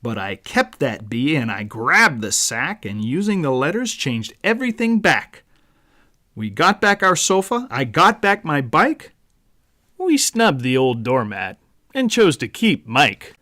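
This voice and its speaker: A man narrating a rhyming children's story as its author, in a dramatic, deliberate storyteller's manner. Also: fairly high pitch, sing-song, bright, forceful tone. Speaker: author reading own book.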